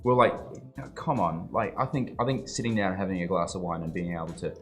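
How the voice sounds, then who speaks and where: Low-voiced sweary podcast host. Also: male voice, expressive, fast talker, fairly bright tone, soft background music. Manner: rambling, sweary, emphatic with many fillers. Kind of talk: casual conversational interview.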